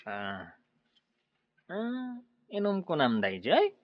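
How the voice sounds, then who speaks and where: A man's voice: on the high side, wildly swooping pitch, extremely slow, no echo, highs cut off, exaggerated voice. An actor with an Ilocano accent playing a tipsy, teasing friend who loves his drink, exclaiming and bantering in radio drama style. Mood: tipsy, playful.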